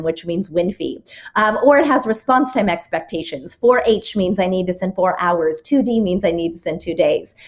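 A woman author, a guest on a podcast, laying out her rules point by point and backing each with a story. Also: lowish voice. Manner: structured, explains with examples and numbered rules; occasional restarts and fillers. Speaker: author and keynote speaker, guest. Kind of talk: podcast interview answers.

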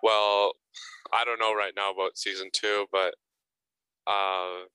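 A man's voice: fairly low pitch, little pitch movement, slow pace, bright, forceful tone, clean tone, no echo, poor audio. A young man being interviewed as an actor guest, answering casually and hesitantly, often repeating words and adding fillers.

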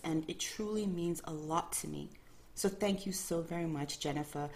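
Low-voiced woman hosting a faith podcast. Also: darkish tone, a little hiss or hum. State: early-morning voice, a bit calmer than usual. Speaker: podcast host.

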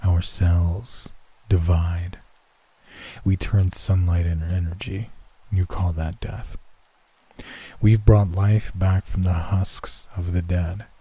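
A man voicing a sinister ancient tree entity in a horror story, deep, monotone and deliberate. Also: breathy edge, heavily acted. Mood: cold, menacing. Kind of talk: horror story narration, character voice.

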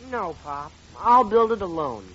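An actor playing a polite but independent-minded young American son in an old-time radio sitcom. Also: male voice, high voice, lively intonation, a little slow, bright, forceful tone, full character voice. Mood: firm, polite. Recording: muffled vintage radio recording, crowd noise.

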